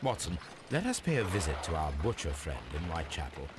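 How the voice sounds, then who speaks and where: An actor playing a brilliant, precise English gentleman detective in a video game, speaking formally and coolly. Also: low voice, sing-song, noisy background. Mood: calm, purposeful. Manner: formal, measured, coolly deductive delivery. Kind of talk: scripted video game dialogue.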